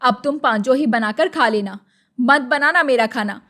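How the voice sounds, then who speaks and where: A woman's voice: high voice, moderate pace, fairly bright tone. A woman voicing a cranky older mother-in-law in a story cartoon, grumbling and scolding. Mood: angry, fed up. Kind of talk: animated moral-story cartoon dialogue.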